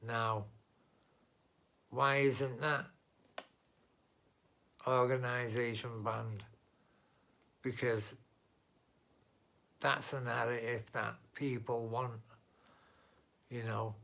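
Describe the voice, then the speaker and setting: Slow, gravelly man ranting. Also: medium pitch, a bit bright. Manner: blunt and opinionated, talks straight to viewers; rambling, with restarts and filler asides. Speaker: vlogger. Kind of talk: unscripted political monologue.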